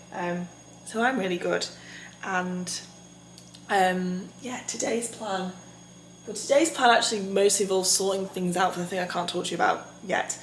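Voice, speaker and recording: A young woman with a husky, raspy voice from tonsillitis, chatting upbeat to her vlog viewers with lots of ums. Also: mid-range voice, slight background noise. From England. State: recovering from tonsillitis. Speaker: vlogger. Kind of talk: casual vlog chat. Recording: echoey room.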